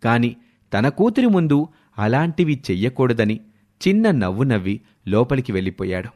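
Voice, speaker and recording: A male storyteller with a medium-pitched voice and very animated intonation. He delivers scripted moral-story narration, rising and falling expressively through the phrases. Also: normal speed.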